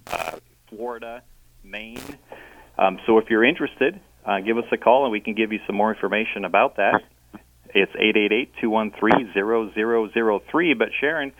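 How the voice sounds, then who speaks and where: A man's voice: fairly low pitch, dry recording. A man calling into a radio show as a guest for a charity, talking hesitantly with many ums and uhs. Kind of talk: casual radio interview. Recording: tinny phone line.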